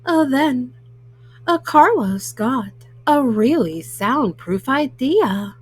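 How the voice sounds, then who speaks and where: Slow, halting woman reading a storybook. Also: high-pitched, expressive, husky. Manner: halting, word-by-word reading with frequent hesitant fillers. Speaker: storyteller, host of a children's read-aloud show. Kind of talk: reading a children's picture book aloud.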